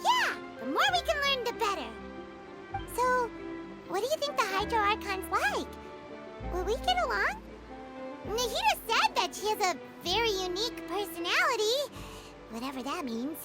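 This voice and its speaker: A tiny, bubbly game fairy with an extremely high, squeaky child's voice. The delivery is chirpy and wide-eyed, swinging up and down with curiosity, over prominent music. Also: female voice, very animated, moderate pace, pauses often. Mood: curious, eager. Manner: chirpy, wide-eyed, refers to self in third person. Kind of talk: anime video game voice acting. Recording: music playing behind.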